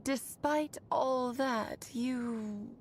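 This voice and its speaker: An actress playing a playful, affectionate magical woman in a video game, with theatrical, expressive phrasing. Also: on the high side, extremely slow, slight background noise. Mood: cautioning. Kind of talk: scripted video game voice acting.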